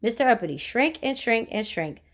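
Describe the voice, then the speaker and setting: High-pitched, very animated woman reading stories. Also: brisk. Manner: expressive, addresses young listeners with questions. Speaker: children's story reader. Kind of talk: animated read-aloud narration of a children's storybook.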